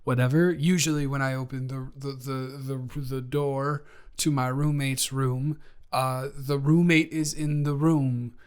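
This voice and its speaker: A man's voice: mid-range voice, little pitch movement, unhurried, clean tone, lightly acted. A man playing a flustered, befuddled young guy in an improvised roleplay audio, stammering and rambling as he talks to the listener. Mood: flustered, confused. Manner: stammering, rambling, awkwardly backtracking.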